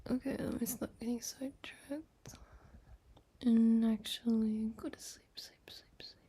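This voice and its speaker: A woman live streamer chatting casually and playfully to viewers in a soft, breathy voice that often drops into a whisper. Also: fairly high pitch, fairly even intonation, unhurried. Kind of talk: sleepy casual livestream chat to viewers.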